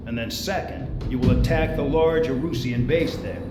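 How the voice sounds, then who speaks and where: An actor playing a smug, contemptuous military commander giving a video game mission briefing, sneering and authoritative. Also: male voice, mid-range voice, moderate pace, close to an everyday voice. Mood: commanding, disdainful. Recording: echoey hall, loud music underneath. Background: loud music.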